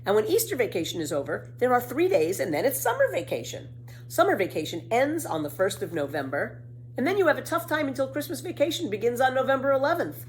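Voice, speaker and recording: A woman's voice: a little high, big pitch swings, quick pace, a little hiss or hum, pitched above the performer's own voice. A woman voicing a cheeky, boastful young girl who spins tall tales in a children's storybook, with breezy, emphatic delivery. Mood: insistent. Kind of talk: children's storybook read aloud.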